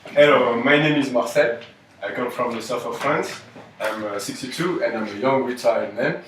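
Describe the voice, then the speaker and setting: A man taking part in a focus group, talking in a joking, deadpan way. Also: medium pitch, normal speed, noisy background. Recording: echoey room, far from mic.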